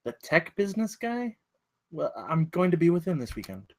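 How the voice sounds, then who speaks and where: A man's voice: on the high side, big pitch swings, normal speed, no room sound. A man at a script table read playing an earnest, understated younger brother. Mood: earnest, mild.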